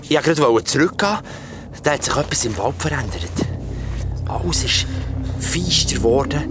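An excitable guy with a Swiss German accent tells a campfire horror story in a radio drama. His delivery is colloquial and vivid, with wide pitch swings over music. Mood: uneasy. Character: excitable ordinary man retelling his horror date by a campfire. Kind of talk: audio drama first-person storytelling. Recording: studio audio drama with music underneath.

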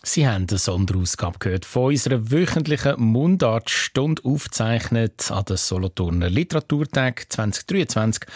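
Swiss German male radio announcer, low husky voice, lively inflection, dry studio sound. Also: dry recording. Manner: smooth, scripted presenter delivery.

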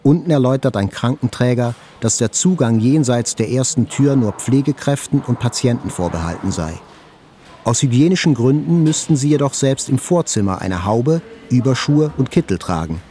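A man's voice: medium pitch, expressive, moderate pace, dark tone, a little hiss or hum, natural voice. An actor playing a wounded male journalist who recalls his hospital ordeal in reflective, first-person literary narration.

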